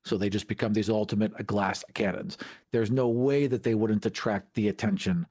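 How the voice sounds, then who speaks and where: A man's voice: on the low side, steady tone, moderate pace, mellow, dry recording, clean studio sound. A man co-hosting a podcast, talking casually about tabletop games. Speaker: podcast co-host.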